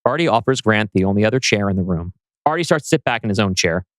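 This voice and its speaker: A man's voice: fairly low pitch, animated, fast talker, no echo. A man narrating a screenplay, reading out scene directions as scripted narration.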